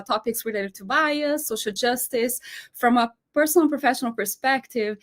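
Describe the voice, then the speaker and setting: Brief: Brazilian-accented woman, Portuguese native, chatty lecturer on a video call, fairly high voice. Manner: warm, chatty, frequent fillers and laughs. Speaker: guest speaker, professor. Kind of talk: webinar lecture.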